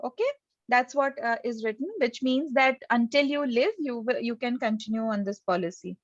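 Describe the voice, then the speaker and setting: A woman's voice: on the high side, normal speed, no echo, muffled recording. A woman presenting an insurance scheme on a video call, explaining step by step with many fillers and hesitations.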